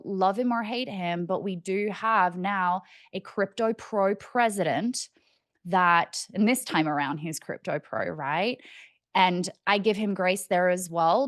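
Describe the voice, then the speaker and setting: A young Australian woman talks in a chatty, explanatory way, as if teaching a friend. Her voice sits a little low for a woman and is recorded dry and close. She thinks aloud as she goes. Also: dry recording. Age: 20s to 30s. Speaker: financial educator on cryptocurrency. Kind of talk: casual explanatory talk.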